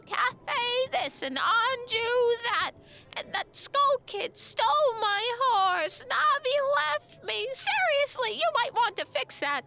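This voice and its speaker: A woman voicing a cranky, sarcastic old witch healer in a screechy, high-pitched voice for a fantasy story. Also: heavily acted. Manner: mocking, nagging, insulting.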